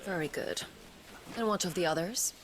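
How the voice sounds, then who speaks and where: A woman's voice: on the low side, normal speed, crowd noise, close to an everyday voice, rough recording. A woman playing a cold, imperious noblewoman.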